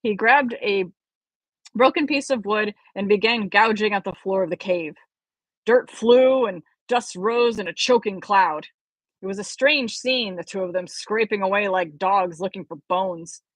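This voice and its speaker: Amateur female audiobook reader, mid-range pitch, expressive storytelling, very dry recording. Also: unhurried, no room sound. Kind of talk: expressive story read-aloud.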